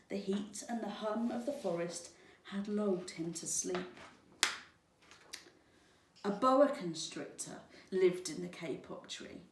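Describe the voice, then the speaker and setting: A woman reading a children's story aloud in a deliberate, expressive teacher's style. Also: medium pitch. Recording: roomy, echoing, distant microphone.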